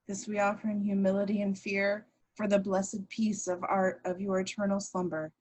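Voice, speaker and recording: Solemn technician intoning a pagan prayer, played by a woman, very flat delivery, amateur table read. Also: medium pitch, normal speed, natural voice, low-quality recording.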